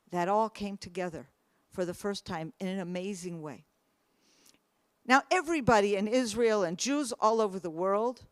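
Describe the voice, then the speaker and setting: A woman's voice: a little high, no room sound, clean studio sound. A middle-aged woman whose first language is English, telling her personal story in an earnest, emphatic way and lifting her voice on questions. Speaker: speaker. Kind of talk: personal testimony talk.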